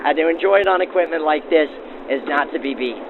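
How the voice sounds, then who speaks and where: A man's voice: a little high, fast talker, vocal fry, noisy background. A man working as host and interviewer, presenting in a 1940s radio manner through an old-time radio filter. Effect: aged 1940s radio filter. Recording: narrow, lo-fi vintage radio sound with faint music.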